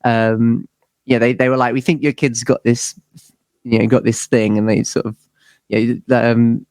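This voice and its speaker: A man's voice: mid-range voice, little pitch movement, moderate pace, darkish tone, no echo. A man with a southern English accent chatting casually as a musician being interviewed, rambling and self-deprecating. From southern England, UK. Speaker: interview guest, band musician. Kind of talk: casual chat.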